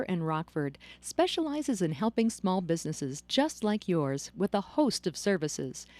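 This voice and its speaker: A woman's voice: mid-range voice, mellow, no echo. An American woman narrating a scripted radio advertisement as a commercial announcer.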